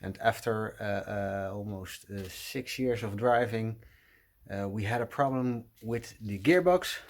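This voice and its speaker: A middle-aged Dutch man with a Dutch accent, speaking English with many pauses and fillers as he shows his car project in a garage. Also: on the low side. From Netherlands. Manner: hesitant, many uhs, apologetic. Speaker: car restoration vlogger. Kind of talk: casual walkaround vlog.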